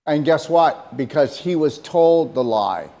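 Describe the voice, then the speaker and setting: A man's voice: on the high side, animated, measured pace, mellow. A man co-hosting a casual talk show, speaking emphatically and rambling, often repeating himself. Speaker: co-host.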